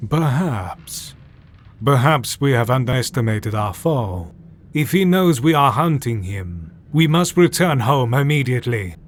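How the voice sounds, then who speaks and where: A man's voice: mid-range voice, animated, measured pace, slight background noise, lightly acted. A man playing a solemn, commanding Victorian doctor who hunts vampires in a horror audio drama, with formal delivery. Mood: foreboding.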